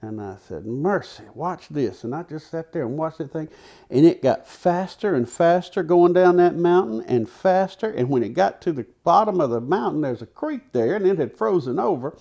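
A man tells a folksy tall tale, unhurried, with dramatic pauses and repetition. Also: on the high side, lively intonation, gravelly, mellow. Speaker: storyteller. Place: living room in a house, seated by a fireplace. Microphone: lapel.

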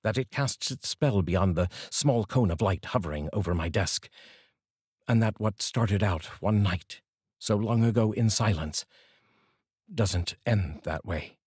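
A middle-aged man with a very low, husky voice. He speaks as a poetry reader, with deliberate phrasing. The sound is close and dry, from a studio microphone. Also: a little mellow, dry recording. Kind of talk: poetry reading with casual introduction. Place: small dark indoor studio. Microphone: large studio microphone, close.

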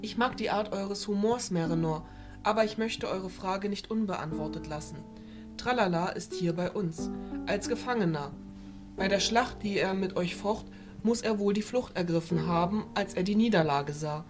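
A stern, regal fairy ruler acted by a woman presides in measured, formal language. Her pitch sits mid-range for a woman. Soft music runs behind this fantasy audio drama. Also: lightly acted. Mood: composed, stately. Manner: formal, measured, stately speech.

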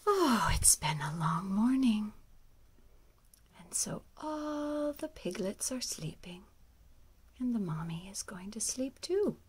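A woman reading a picture book aloud to young children, often hushed, with exaggerated wonder. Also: mid-range voice, big pitch swings, slow, husky. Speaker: storyteller and children's music teacher.

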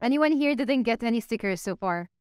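Woman, young adult, panel moderator, polls the crowd, handheld mic, very clear voice. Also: on the high side, animated, a little fast, darkish tone, no echo, studio quality. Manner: engaging, polls the audience. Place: stage in a large event hall.

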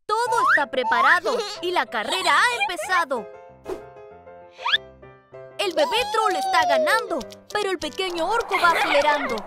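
A woman voicing an excitable young lion cub in a children's cartoon, speaking singsong rhymed lines with exclamations. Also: very high voice, normal speed. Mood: excited. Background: music.

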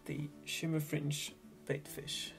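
A man in his thirties with a Swedish accent, a Swedish native speaker explaining fly tying in a relaxed, hesitant way from a small home workshop. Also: on the high side, slow pace, creaky, faint music behind. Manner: relaxed, hesitant, thinking aloud. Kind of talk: casual tutorial narration.

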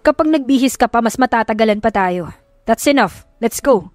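A woman's voice: on the high side, lively intonation, normal speed, dry recording, soft background music, close to an everyday voice. A woman voicing an irritable, scornful husband with a curt, scolding manner in an audio love story. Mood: angry, scornful. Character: irritable, scornful husband, impatient adult man.

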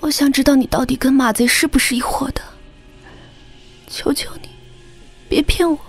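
A woman's voice: high-pitched, steady tone, moderate pace, soft background music. An actress playing a desperate, naive young noblewoman in a Chinese martial-arts audio drama, pleading through tears. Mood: hushed pleading. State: tearful, crying.

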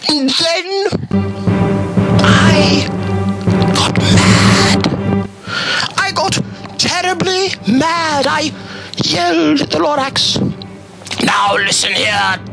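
A middle-aged man reading a children's story dramatically, with long pauses and heavy emphasis. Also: very high-pitched, vocal fry, music in the background. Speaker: storybook reader.

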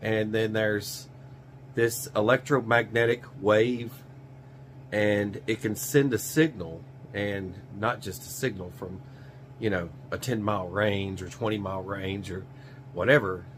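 A man's voice: fairly low pitch, steady tone, unhurried. A middle-aged American man giving a casual product review to camera in a folksy, rambling way, repeating phrases for emphasis. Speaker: survival channel host. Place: small cluttered indoor gear workshop. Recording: clear background noise with hum.

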